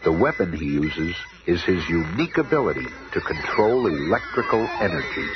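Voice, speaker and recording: An actor playing a grave, dramatic narrator of a superhero radio serial, with a solemn theatrical manner. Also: male voice, deep voice, very animated, normal speed, over music. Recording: noisy muffled old radio recording.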